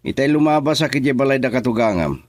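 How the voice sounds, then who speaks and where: A man's voice: mid-range voice, animated, quick pace, a little mellow, no room sound, lightly acted. A man playing a teasing man, Cardo. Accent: Ilocano. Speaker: radio drama actor. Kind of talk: Ilocano radio drama acting.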